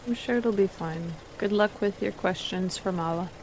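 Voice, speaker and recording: A woman's voice: mid-range voice, moderate pace, a little mellow, a little hiss or hum, lightly acted, poor audio. A woman as a stiff, stoic male mage. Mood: dry, resigned.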